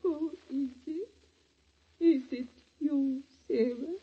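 Frail old auntie dying in bed, breathy whisper, slow, lo-fi old radio. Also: female voice, high-pitched. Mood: weak, pleading. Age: older. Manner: weak, tearful pleading. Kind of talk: 1940s radio acting. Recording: dull old radio recording.

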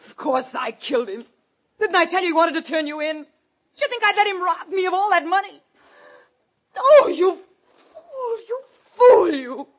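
An actress playing a scheming, sharp-tempered wife in an old-time radio thriller, in a tense, melodramatic way. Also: female voice, extremely high pitch, a little fast, slight background noise. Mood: bitter fury. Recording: dull old radio recording.

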